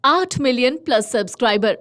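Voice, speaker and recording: A woman's voice: on the high side, expressive, moderate pace, fairly bright tone. A woman reading a scripted channel promo as an announcer.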